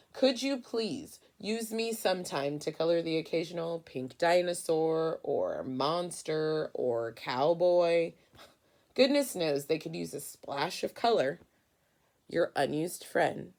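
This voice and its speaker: A neglected pink crayon from a picture book read by a woman, miffed and sarcastic. The voice is low and gravelly, making its points sharply. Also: lively intonation, slow pace, darkish tone, exaggerated voice.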